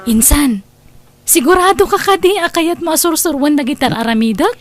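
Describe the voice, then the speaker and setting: A woman's voice: high-pitched, animated, normal speed, over music. A woman with an Ilocano accent playing a patient, helpful manicurist niece in a comedy drama. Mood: encouraging. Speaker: drama actress.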